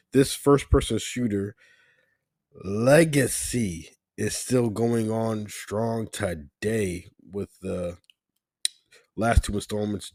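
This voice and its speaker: A man with a very deep voice talks through his picks in a loose, rambling way, leaning on fillers. His voice is hoarse from a cold, and the recording is close and dry with no room sound. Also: darkish tone, no echo. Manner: rambling, laid-back, many fillers. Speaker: gaming channel host. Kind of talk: casual ranking commentary.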